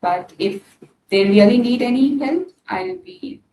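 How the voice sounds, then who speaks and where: A woman guest speaking in a halting way, with many pauses and fillers. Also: a little high, sing-song, warm tone. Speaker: podcast guest. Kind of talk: casual interview talk. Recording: distant, echoey room with noise.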